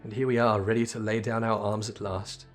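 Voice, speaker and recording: A man's voice: lowish voice, flat delivery, fairly quick, faint music behind, lightly acted. Male reader as repentant game heretic, solemn, earnest, archaic and formal. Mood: solemn, resigned. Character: solemn, repentant heretic follower in a fantasy war.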